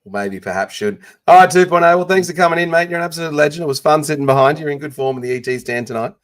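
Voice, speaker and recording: A man with an Australian accent hosting a casual sports podcast, with quick bursts of enthusiasm when he greets guests. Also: on the high side. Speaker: podcast host.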